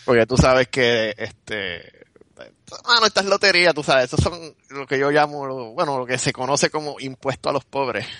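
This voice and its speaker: A man's voice: mid-range voice, moderate pace, fairly bright tone, no room sound. A man with a Puerto Rican Spanish accent co-hosting a podcast in casual, slangy, joking banter. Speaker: podcast co-host.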